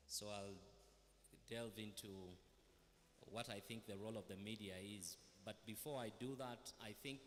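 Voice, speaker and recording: Low-voiced man speaking on a panel. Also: fairly bright tone. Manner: deliberate, rhetorical questions. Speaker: media executive panelist. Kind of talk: panel remarks. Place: large hall. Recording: reverberant hall.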